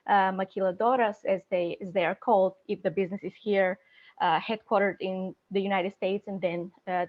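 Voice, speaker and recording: A woman in procurement speaks as a guest in a career chat. Her delivery is thoughtful and halting and full of fillers. Her voice sits at a middle pitch, and the recording sounds a little dull and muffled. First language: not Spanish; speaks several languages. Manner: thoughtful, halting speech with many fillers, laughs readily. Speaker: procurement specialist, interview guest. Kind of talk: career interview. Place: San Diego area.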